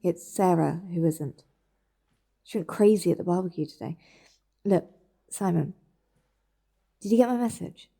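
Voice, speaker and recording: British woman, anxious and insistent, warm dark-edged voice, naturalistic radio play. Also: fairly low pitch, unhurried, creaky, pauses often. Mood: worried, firm. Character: anxious, insistent woman questioning her relatives in hospital. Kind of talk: naturalistic audio drama acting.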